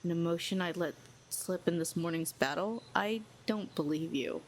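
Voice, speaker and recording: A proud telepathic villainess, performed by a woman in an audio roleplay, speaks in a cool, sardonic, needling way. Her voice sits a little low. A faint hiss runs under the recording. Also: normal speed. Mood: guarded. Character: proud telepathic female villain, cynical, lonely.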